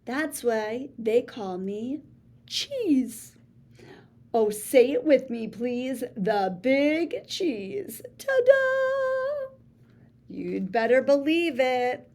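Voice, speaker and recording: Slow, theatrical woman reading aloud. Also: big pitch swings, darkish tone. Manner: theatrical, playful, big pitch swings; boastful first-person storybook voice. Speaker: read-aloud storyteller. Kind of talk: children's picture book read-aloud.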